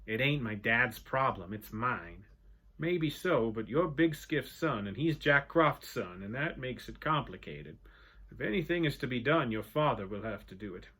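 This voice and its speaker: A man voicing a kindly, formal old man who speaks with gentle courtesy. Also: mid-range voice, animated, normal speed, breathy edge. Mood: regretful. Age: older.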